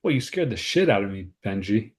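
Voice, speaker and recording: A man's voice: on the low side, sing-song, fairly quick. A man acting an irritated, worried, uptight cousin, blunt and exasperated, in a film script read.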